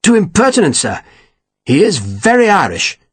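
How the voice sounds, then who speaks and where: Captain: man playing a high, husky, peevish officer, all stiff grievance. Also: huge pitch swings, normal speed, no room sound, exaggerated voice. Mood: indignant. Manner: prim, complaining, sticks "sir" on everything. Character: fussy, indignant male army captain.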